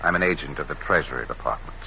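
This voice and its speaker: An actor playing a confident, teasing government agent and romantic lead in a muffled 1940s radio drama. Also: male voice, a bass voice, fast talker, bright, forceful tone, heavily acted. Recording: muffled, faintly noisy old radio recording.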